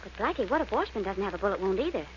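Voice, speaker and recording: A woman's voice: medium pitch, sing-song, racing, close to an everyday voice. An actress playing a devoted, fretting young woman sidekick in a 1940s radio drama. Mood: anxious. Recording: noisy, muffled old radio recording.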